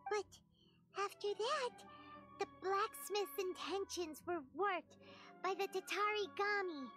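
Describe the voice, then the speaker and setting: A woman voicing a tiny, childlike fairy companion in a game, cute and sympathetic, with a squeaky voice. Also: fairly bright tone, exaggerated voice. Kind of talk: cute game character voice acting. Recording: music behind the voice.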